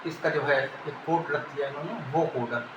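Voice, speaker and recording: A man's voice: fairly high pitch, moderate pace. A man with an Indian accent giving an informal technical lesson, explaining and repeating key terms. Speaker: teacher. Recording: echoey room, background noise.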